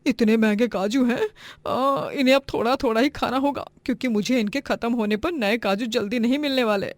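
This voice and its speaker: A high-voiced, domineering middle-aged mother-in-law as acted by a woman in a cartoon moral tale. She is greedy and bossy, scolding and lamenting with great theatrical drama. The sound is close and dry. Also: brisk, no room sound, lightly acted. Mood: gloating, secretive. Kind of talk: animated Hindi moral-story voice acting.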